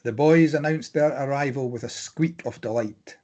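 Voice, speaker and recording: Mid-pitched man reading stage directions. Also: moderate pace, background noise. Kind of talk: scripted narration.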